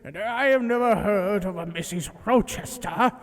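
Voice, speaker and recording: A man playing a solemn country clergyman in a period audio drama, ceremonious and measured. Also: high-pitched, natural voice. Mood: troubled, shocked. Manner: ceremonious, measured, indignant when challenged. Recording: echoing church hall.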